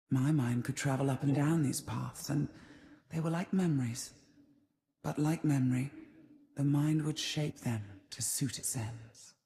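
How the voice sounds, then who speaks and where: An ancient, cold spider seer from a fantasy video game. Her voice is dark, velvety and hushed, often slipping toward a breathy whisper. She takes her time over every phrase, brooding and portentous, with a seductive menace. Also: very deep voice, heavily acted. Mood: musing, ominous. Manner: slow, brooding, portentous, measured. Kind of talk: dramatic scripted game monologue.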